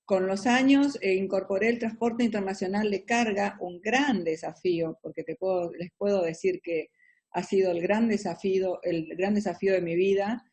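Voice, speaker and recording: A middle-aged Argentine woman with an Argentine Spanish accent, answering interview questions about her business in an explanatory way, often pausing on fillers. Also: mid-range voice, a little mellow, husky. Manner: explanatory, hesitant with many fillers. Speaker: businesswoman guest.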